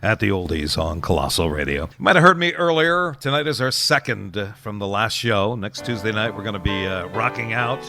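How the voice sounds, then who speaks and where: A man's voice: lowish voice, animated, brisk, hardly any pauses, pushed and bright. A middle-aged man presenting an oldies radio show as its DJ, chatting casually between songs with nostalgic asides. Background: oldies music.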